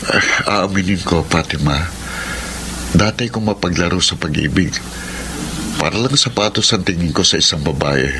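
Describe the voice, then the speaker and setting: An actor playing an earnest, persuasive young suitor and former playboy in a dramatic radio romance. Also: male voice, low voice, normal speed, pauses often, background noise, lightly acted. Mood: earnest, confessing. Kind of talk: Tagalog radio drama acting. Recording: radio drama with loud background music. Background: loud music.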